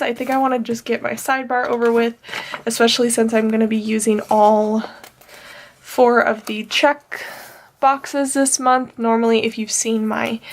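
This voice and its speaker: A young woman with a nasal, stuffed-up voice, chatting casually and rambling with fillers while she plans. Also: on the high side. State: nasal from allergies. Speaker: planner vlogger. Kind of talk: casual plan-with-me chat.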